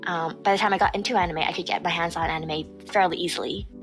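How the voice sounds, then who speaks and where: A woman whose first language is Chinese, chatting casually in English on a podcast, playfully repeating words in quick bursts. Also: lowish voice, steady tone, a bit bright, faint music behind. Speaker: VTuber podcast co-host. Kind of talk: casual chat.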